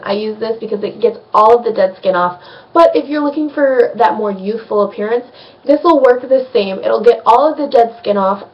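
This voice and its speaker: A woman's voice: fairly high pitch, recorded from a distance, dull audio. A young woman with a slight cold, chatting casually through a skincare vlog and rambling with plenty of ums. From United States. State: sniffly from a cold. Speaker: beauty vlogger.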